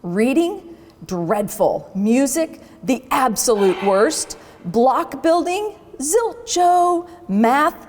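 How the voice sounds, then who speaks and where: A woman's voice: high-pitched, long reverb tail, a little hiss or hum, pitched above the performer's own voice, heavily acted. A woman voicing an officious, blustering wild boar inspector in a children's storybook, declaiming with pompous emphasis. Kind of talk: children's storybook read-aloud.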